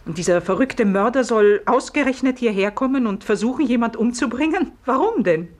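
A woman's voice: a little high, lively intonation, a little fast, darkish tone. An actress in a 1950s radio play playing a nervous, questioning married woman who runs a guesthouse. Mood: alarmed. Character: anxious young married hostess of a guesthouse.

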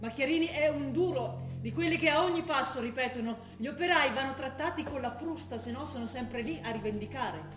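Actress as a young militant woman, high voice, roomy stage. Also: fairly quick, few pauses, close to an everyday voice. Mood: solemn, militant. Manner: insistent, declamatory. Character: young militant daughter, earnest, ideologically convinced revolutionary. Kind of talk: stage drama acting, declaimed political proclamation. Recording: roomy stage sound with music behind.

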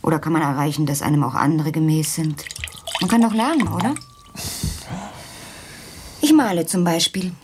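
Melancholy, wry female radio-drama lead, lowish voice, poetic turn, slightly noisy vintage recording. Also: moderate pace, pauses often, darkish tone. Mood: pensive. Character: melancholy, chilly woman who paints, drinking over checkers. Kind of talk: naturalistic German radio play dialogue.

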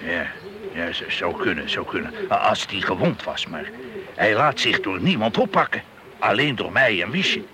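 A hesitant man who keeps pigeons, in a radio play. His voice is lowish and swings around as he stammers and repeats himself, with birds cooing behind him. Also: very animated, a crowd in the background. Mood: worried, halting. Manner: halting, repeating words. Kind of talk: Dutch radio drama acting.

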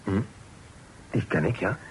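An actor in a radio play voicing an upright, respectable burgher with a proper, reproachful manner. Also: male voice, a little slow, a little hiss or hum, lightly acted, low-quality recording. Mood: stern.

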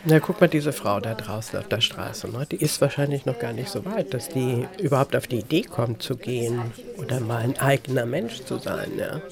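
A man's voice: medium pitch, normal speed, vocal fry, hardly any pauses, lightly acted. An actor playing an opinionated, pensive male friend in a naturalistic radio drama, analysing others casually and tagging remarks with little question words. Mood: analytical. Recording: busy crowd chatter around voices.

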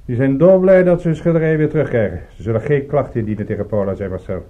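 A blunt, stubborn male henchman played by an actor in a radio drama. He talks quickly and insistently, on a tinny, narrow-band recording. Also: mid-range voice, close to an everyday voice. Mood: businesslike. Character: stubborn male henchman, loyal to his woman. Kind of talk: Dutch radio drama acting.

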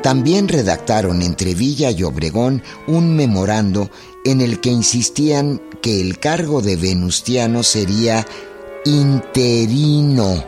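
A man narrating a scripted historical radio drama in a deliberate storytelling manner. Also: medium pitch, lively intonation, a little mellow. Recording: clear music under the narration.

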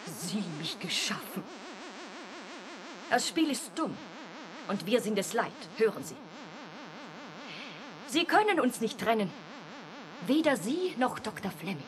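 Eine Schauspielerin spielt in einem deutschen Hörspiel ein kaltes, gebieterisches, künstlich erschaffenes weibliches Wesen, knapp und drohend. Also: female voice, a little high, big pitch swings, measured pace, long pauses, a crowd in the background, poor audio. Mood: cold, threatening. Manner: commanding, curt, menacing. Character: cold, imperious female artificial being made by a computer. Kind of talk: German radio drama acting.

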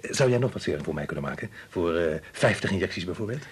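A man's voice: deep voice, lively intonation, quick pace, breathy edge, full character voice. An actor playing an eager, self-assured research scientist and family man in a Dutch radio play, speaking insistently and with enthusiasm.

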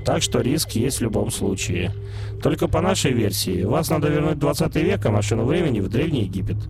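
A man's voice: medium pitch, animated, a little fast, over loud music, natural voice. A man voicing a proud, suspicious male sphinx who lectures in a haughty, grumbling way. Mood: lecturing. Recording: loud background noise.

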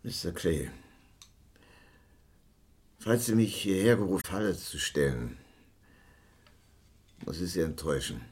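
A husky, slow-talking, bitter wreck of a man. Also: low voice, sing-song, a little mellow, exaggerated voice. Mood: resigned, cynical. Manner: slow, halting, repeats himself, swinging between mutter and outburst. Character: bitter, washed-up alcoholic theatre director, self-loathing cynical man. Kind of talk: German radio crime drama acting.

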